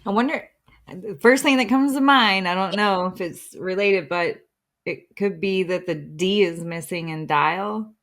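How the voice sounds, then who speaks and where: A woman's voice: mid-range voice, a little slow, fairly bright tone. A woman co-hosting a podcast, musing and reacting agreeably in casual conversation. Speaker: podcast co-host.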